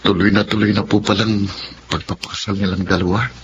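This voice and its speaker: A man acting a polite, low-voiced visitor. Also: level tone, brisk, lightly acted. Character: polite male acquaintance making friendly inquiries. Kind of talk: Tagalog radio drama acting. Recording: dull lo-fi audio, clear noise.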